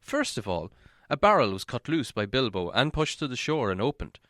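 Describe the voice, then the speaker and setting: A man reading a fantasy novel aloud as an audiobook narrator, in dramatic, measured storytelling. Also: mid-range voice, very animated, fairly bright tone, dry recording, clean studio sound.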